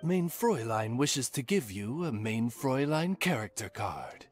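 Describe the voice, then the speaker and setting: A loyal talking raven familiar in an anime game. He sounds like a formal, courtly butler. His medium-pitched voice moves with lively inflection over faint music. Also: a little slow. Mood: courtly, deferential. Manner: formal, courtly servant diction. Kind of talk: anime video game acting.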